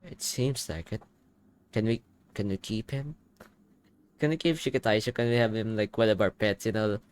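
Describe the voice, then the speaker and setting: A man's voice: lowish voice, moderate pace, slight background noise. A young man giving casual, informal gaming reaction commentary, thinking aloud as he goes. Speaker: gaming reaction video host.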